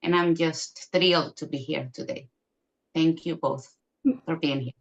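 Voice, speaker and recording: A woman's voice: low voice, steady tone, unhurried, lo-fi sound. A woman from Venezuela who writes poetry, talking cheerfully and a little hesitantly on a video call. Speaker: poet. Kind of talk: casual chat and bilingual reading.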